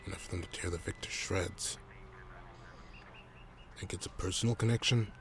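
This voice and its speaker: A man playing a gruff, cynical police detective in an audio drama, terse and brusque with a gravelly voice. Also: deep voice, steady tone, normal speed, close to an everyday voice. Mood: grim, determined. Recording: noisy scene, siren ambience behind.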